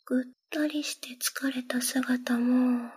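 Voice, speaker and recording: A woman's voice: high voice, steady tone, takes it slowly, a little mellow, full character voice. A woman whispering as a clingy lovesick Kansai girl. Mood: adoring. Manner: breathy close whisper. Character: clingy lovesick young woman, possessive and devoted. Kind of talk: whispered ASMR roleplay.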